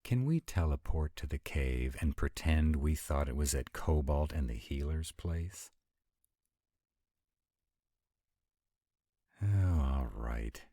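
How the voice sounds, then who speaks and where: A man's voice: extremely low pitch, expressive, measured pace, warm tone, no room sound, studio quality, full character voice. A man voicing supernatural male characters at a dinner party in a theatrical roleplay audio drama. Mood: dry.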